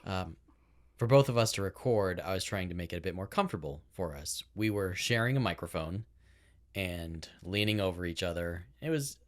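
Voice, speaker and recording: A man's voice: deep voice, lively intonation, normal speed, no echo, clean studio sound. A man co-hosting a podcast in casual chat, rambling conversationally through his explanations. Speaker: podcast co-host. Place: house room with improvised soundproofing. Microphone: own podcast microphone on a boom arm.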